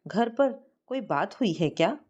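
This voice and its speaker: A woman's voice: medium pitch, expressive, a little slow, a little mellow, lo-fi sound. A woman voicing an anxious, pleading young woman in love in an audio storybook.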